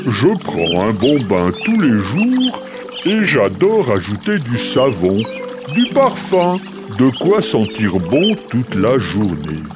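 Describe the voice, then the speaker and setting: Man playing a slow, jolly swooping hippo. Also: mid-range voice, huge pitch swings, music in the background. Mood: jolly, relishing. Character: jolly hippopotamus who loves perfumed baths. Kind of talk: children's audio story acting.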